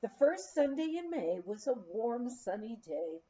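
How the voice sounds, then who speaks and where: A woman reading a memoir aloud in an expressive, measured storytelling manner. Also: a little high. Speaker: audiobook reader. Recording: dull, lo-fi sound.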